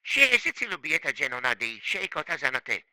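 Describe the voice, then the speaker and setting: A man's voice: medium pitch, big pitch swings, normal speed, pushed and bright, no room sound. A man playing a stern, proud, middle-aged father in a cartoon, with an emphatic, complaining delivery. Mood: worried, regretful. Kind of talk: cartoon voice acting.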